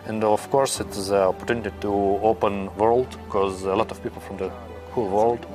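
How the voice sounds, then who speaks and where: A young man with a Russian accent answering interview questions in halting, searching English with many pauses. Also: lowish voice, steady tone, music in the background. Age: young adult. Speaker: interviewee, new immigrant.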